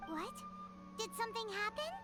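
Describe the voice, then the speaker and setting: A woman voicing a tiny, excitable, childlike fairy companion in a video game, chirpy and eager, with game music loud behind her. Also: very high voice, moderate pace. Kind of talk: video game cutscene dialogue. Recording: game score playing loudly behind.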